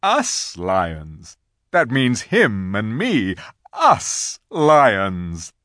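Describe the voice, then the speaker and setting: A man's voice: on the low side, wildly swooping pitch, extremely slow, fairly bright tone, dry recording, clean studio sound, exaggerated voice. A man voicing an eager, boastful, simple-minded lion in a storybook. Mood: proud delight. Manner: boastful, repetitive. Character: eager, self-important, simple male lion.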